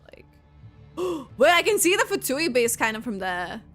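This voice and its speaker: A woman game streamer giving excitable, halting reaction commentary as she plays. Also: sits high, soft background music. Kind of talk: live gameplay reaction commentary.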